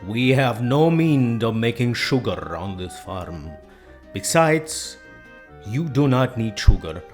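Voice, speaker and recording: A man's voice: mid-range voice, lively intonation, slow pace, soft background music. Man playing firm, preaching young boar Snowball. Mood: firm, scolding.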